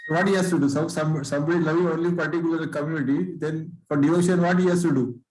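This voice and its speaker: A middle-aged man, a student in an online class, pressing the teacher with persistent, halting questions. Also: on the high side, fairly even intonation, a little mellow. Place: plain room at home. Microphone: video call laptop mic. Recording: echoey room on a video call.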